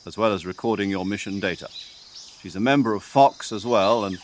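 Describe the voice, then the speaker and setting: An actor playing a composed, authoritative covert-ops commander giving a formal, measured briefing in a video game. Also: male voice, on the low side, close to an everyday voice. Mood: brisk, reassuring. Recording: outdoor insect ambience noise behind voice.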